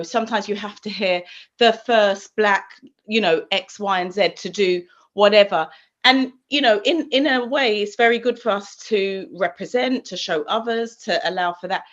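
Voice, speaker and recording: A woman on a panel thinks aloud as she talks, softening her points with "kind of" and "you know". Her voice comes through a video call, a bit dull and muffled. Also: mid-range voice. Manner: thoughtful, with "kind of" hedging. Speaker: panel participant. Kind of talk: panel discussion.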